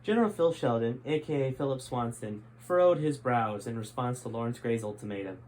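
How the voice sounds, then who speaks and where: A man's voice: medium pitch, a little fast, darkish tone, rough recording. A man reading aloud from his own book in a friendly, conversational way. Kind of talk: storytime reading.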